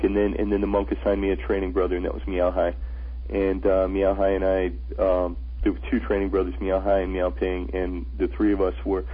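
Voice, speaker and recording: Deep gravelly man on a phone line. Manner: earnest storyteller, frequent restarts. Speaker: guest, author and traveler. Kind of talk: radio interview. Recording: tinny phone-line radio audio.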